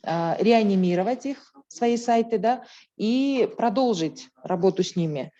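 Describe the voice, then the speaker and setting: A woman's voice: medium pitch, expressive, unhurried, mellow, highs cut off. A woman earnestly urging municipal participants in an online meeting. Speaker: speaker addressing municipalities. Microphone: video call audio.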